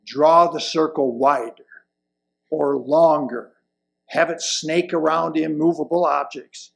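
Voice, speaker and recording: An elderly man delivers a sermon at a slow, measured pace. His voice sits fairly high for a man and has a marked creak. He phrases deliberately, leaning on key words and pausing between them. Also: steady tone. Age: older, around 70s or 80s. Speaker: lay presenter reading a sermon. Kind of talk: sermon read from a pulpit. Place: indoors at a wooden lectern in a church hall. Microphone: lapel mic.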